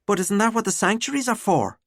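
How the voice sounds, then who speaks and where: A woman's voice: medium pitch, animated, fairly quick, no echo, studio quality, pitched above the performer's own voice, close to an everyday voice. A woman voicing an earnest, easily embarrassed teenage schoolgirl in an audiobook, wry and defensive. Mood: wry amusement.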